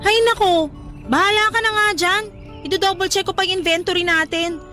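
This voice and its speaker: A woman's voice: very high-pitched, steady tone, fairly quick, sharp tone, faint music behind. A woman playing a sensible, exasperated young cousin and coworker who nags and admonishes in a Tagalog radio drama. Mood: reproachful. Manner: nagging, admonishing.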